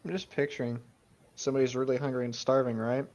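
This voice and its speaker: A man's voice: mid-range voice, little pitch movement, quick pace, a little mellow, muffled recording, a little hiss or hum. A man in casual chat, reacting as a podcast co-host.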